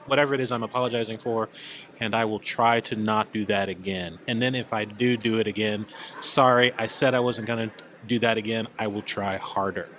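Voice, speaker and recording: Mid-pitched man, halting but emphatic. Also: a bit bright, a little hiss or hum. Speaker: podcast panelist. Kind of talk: casual group chat.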